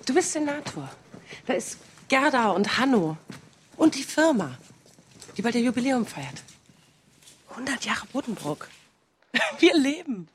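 A woman's voice: on the high side, very animated, unhurried, pauses often, a little hiss or hum. An actress playing a proud, spirited woman of a merchant family in a radio drama, speaking emphatically. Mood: insistent, proud. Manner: emphatic, exclamatory. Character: proud, spirited merchant's daughter, doting outspoken sister. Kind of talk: German radio drama acting.

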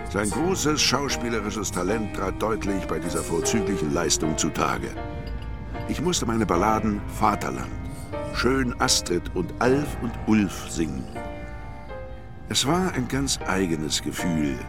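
Deep, gravelly reverent courtier. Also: male voice, exaggerated voice. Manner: reverent, formal. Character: devoted gentleman courtier recalling the emperor's voyage. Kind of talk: dramatised memoir reading.

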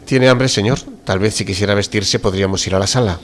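A man acts out a deferential ship's doctor in a radio drama. He has a lowish voice and explains things with formal, fussy courtesy. There is faint background noise around him. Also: quick pace, a bit bright, natural voice. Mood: polite, apologetic. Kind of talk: amateur radio drama reading.

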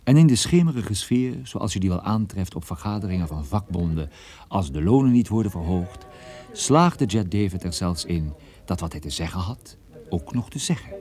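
Low-voiced, world-weary private detective. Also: male voice, lively intonation, a little mellow. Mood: sardonic. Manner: dry, ironic, measured storytelling. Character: male private detective narrator, world-weary, wry, gloomy. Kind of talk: radio play narration and dialogue.

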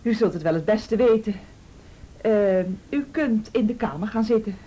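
A woman's voice: mid-range voice, moderate pace, warm tone, slightly rough, lightly acted, rough recording. A woman acting a deferential, fussy neighbour in a radio play, gushing and seeking agreement. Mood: anxious, deferential. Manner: fussy, gushing, full of tag questions. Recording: faint background hiss.

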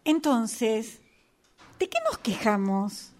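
A woman's voice: fairly high pitch, very animated, extremely slow, darkish tone, exaggerated voice. A woman reading a resigned tramp who looks for small consolations in an amateur radio play. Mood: resigned, wondering.

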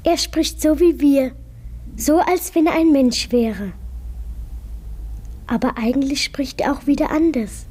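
A woman's voice: sits high, mellow. A woman playing a trusting young girl in a radio play, telling her story with dreamy, childlike wonder. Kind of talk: German radio play acting, storytelling narration.

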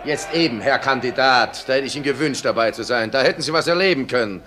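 An actor playing a brusque, aggrieved factory owner in a radio play, with a curt, irritable manner. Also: male voice, medium pitch, fast talker, bright, forceful tone, close to an everyday voice. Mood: irritated. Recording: noisy background ambience.

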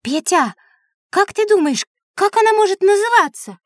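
A woman's voice: very high voice, lively intonation, normal speed, no echo, clean studio sound. A woman voicing a curious, thoughtful, polite little girl in a children's audio play.

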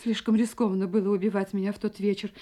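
An actress playing a frightened wife in a Russian audio drama, speaking in an agitated, halting, dramatic way. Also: female voice, medium pitch, little pitch movement, dark tone, natural voice. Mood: anxious, confessing. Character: frightened young wife recounting her escape from a murderous husband.

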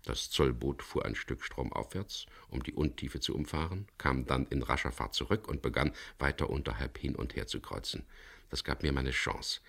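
Wry, tough skipper, radio crime drama lead; extremely low, creaky voice, narrates in laconic, measured fashion. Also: male voice, a bit bright, heavily acted. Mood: tense, matter-of-fact.

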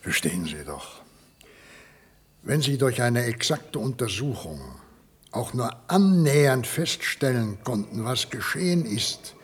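A man acting a guarded, earnest scientist in his fifties, shaken and halting, in a radio play with a very husky, breathy voice. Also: medium pitch, expressive. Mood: earnest, urgent. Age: 50s. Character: 51-year-old male scientist, shaken patient, guarded, earnest. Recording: vintage radio play recording.

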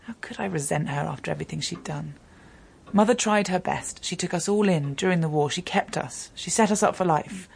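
An actress in a radio drama playing a defensive, guilt-ridden young adopted daughter, with a husky, creaky voice. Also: female voice, lowish voice, brisk, a little mellow, a little hiss or hum. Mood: subdued.

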